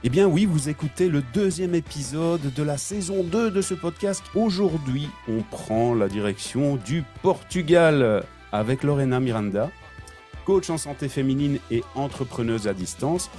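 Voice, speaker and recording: A man hosting his podcast, reading a scripted intro and then chatting casually, lively and playful with repeated catchphrases. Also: a little high, moderate pace, faint music behind. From Belgium. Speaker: podcast host.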